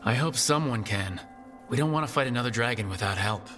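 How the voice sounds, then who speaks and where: Pragmatic male party leader for a fantasy game, played by a man; mid-pitched, resolute, faint music. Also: animated, a little fast, fairly bright tone, in a large hall, close to an everyday voice. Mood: businesslike. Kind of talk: video game dialogue.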